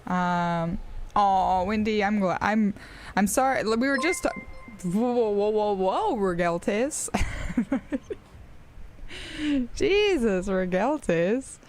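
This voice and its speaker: A woman's voice: medium pitch, animated, takes it slowly, pauses often, a little mellow, a little hiss or hum. A woman in her twenties from Australia, speaking with an American accent and chatting casually on a live stream, rambling with frequent fillers and laughs.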